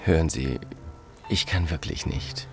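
A man's voice: rumbling low, a little slow, music in the background. An actor in a radio drama playing a courteous, deferential hotel barman with a formal, apologetic manner. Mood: apologetic, reluctant. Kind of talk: German radio drama acting.

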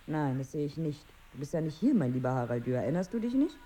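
An actress playing a prim, ironic wife on holiday in a radio play, with a husky voice and a dry, wry manner. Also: female voice, low-pitched, sing-song, fast, warm tone, a little hiss or hum. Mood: coaxing, wry.

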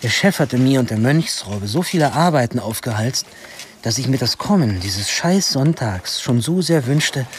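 A man's voice: medium pitch, lively intonation, moderate pace, slight background noise, natural voice. An actor narrating a radio drama as a wry young man looking back on his troubled teens, with a dry, ironic manner. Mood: wry, reflective.

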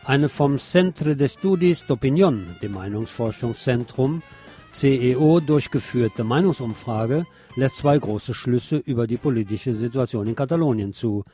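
A man's voice: mid-range voice, lively intonation, unhurried, warm tone, husky, soft background music. A man presenting scripted news with commentary, explaining items in an opinionated way.

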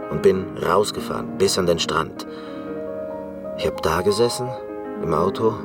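Actor as very deep-voiced brooding pianist. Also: male voice, natural voice. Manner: intense, deliberate, reflective. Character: brooding eccentric male concert pianist, obsessive and reclusive. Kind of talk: radio play monologue.

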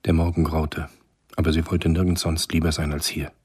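An actor as a grave, detached storyteller, giving measured literary narration in a radio drama. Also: male voice, flat delivery, a little mellow. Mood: reflective. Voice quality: very deep, very husky. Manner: measured, understated.

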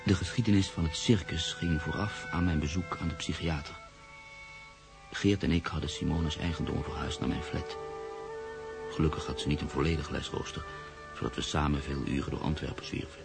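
Very deep, gravelly, brooding man. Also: fairly even intonation, brisk, over music. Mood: brooding, reflective. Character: brooding, cynical man in love, haunted narrator. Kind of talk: radio drama acting and narration.

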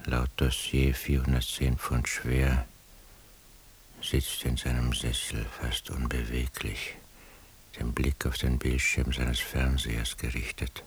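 An actor playing a weary, brooding surveillance eavesdropper in a radio drama monologue, speaking introspectively in a breathy half-whisper. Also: male voice, very deep voice, completely flat delivery. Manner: slow, measured, introspective. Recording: hissy radio drama recording.

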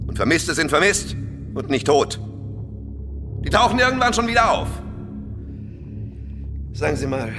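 An actor playing a pompous, condescending and menacing imperial German interior minister in a radio drama. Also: male voice, low voice. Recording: long hall reverb, music behind.

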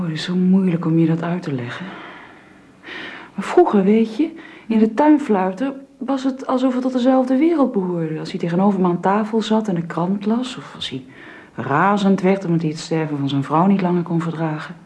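Hesitant, earnest young woman, soft mellow voice played by an actress. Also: on the low side, normal speed, natural voice. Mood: troubled, hesitant. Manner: hesitant, deferential. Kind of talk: Dutch radio drama acting.

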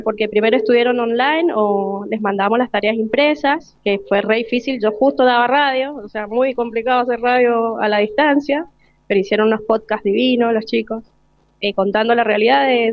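Argentine lady teacher chatting on radio, highish voice, slightly dull lo-fi sound, dry. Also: no echo. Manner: colloquial, explanatory. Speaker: school teacher. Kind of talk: informal spoken explanation in a radio interview.

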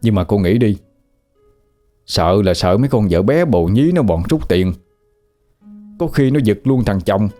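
A man's voice: lowish voice, lively intonation, unhurried, pauses often, dark tone, faint music behind. A man with a Southern Vietnamese accent playing a talkative, fussy male ghost who grumbles and gets flustered. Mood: chatty. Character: nosy, chatty male ghost, young man.

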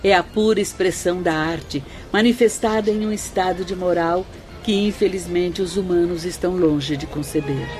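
A woman's voice: on the low side, moderate pace, a little mellow, close to an everyday voice. An actress playing a serene, devout female spirit guide in a radio drama, formal and reverent. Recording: faint music under the voice.

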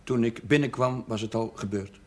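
An actor playing a meek, uneasy village curate in a television drama, speaking haltingly. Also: male voice, medium pitch, lightly acted. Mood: uneasy, defensive. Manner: hesitant, halting. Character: hesitant, uneasy village curate, meek before his elders.

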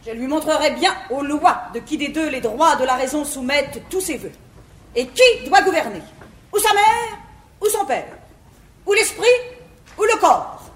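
A woman's voice: sits high, animated, moderate pace. An actress playing an imperious, vain learned mother in classical French verse comedy, with grand, domineering declamation. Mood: imperious outrage. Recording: echoey 1950s theatre recording, faint noise.

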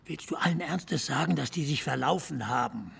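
Very raspy, crusty old man. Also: fairly high pitch, normal speed, fairly bright tone, full character voice. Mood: incredulous. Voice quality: very raspy, gravelly. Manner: needling, emphatic, sardonic. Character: Whizzer, crusty, contrary old storyteller. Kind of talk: German audio drama acting.

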